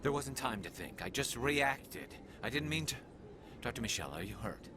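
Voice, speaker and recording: A man's voice: medium pitch, very animated, normal speed, background noise, close to an everyday voice. An actor playing a brash, trigger-happy young male alien cop in a video game, who speaks bluntly and defensively.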